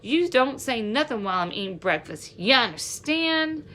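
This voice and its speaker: A woman's voice: a little high, big pitch swings, normal speed, bright, forceful tone, slight background noise, pitched above the performer's own voice. A woman voicing a surly older boy bully in a storybook, curt and intimidating. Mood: menacing.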